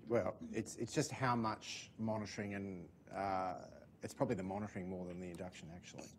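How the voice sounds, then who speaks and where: A man answers questions on a panel, hesitating and restarting often. His voice sits slightly low. The sound is roomy, with faint noise behind it. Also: steady tone. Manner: hesitant, halting with fillers. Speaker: panelist, clinician. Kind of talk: panel discussion.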